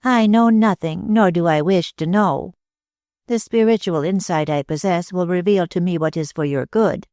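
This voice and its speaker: A reader voicing a thin, pale woman clairvoyant who speaks slowly and with emotion, in an audiobook. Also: medium pitch, darkish tone, no room sound, studio quality, natural voice. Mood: effusive. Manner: slow, emotional, solemn. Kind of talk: audiobook reading.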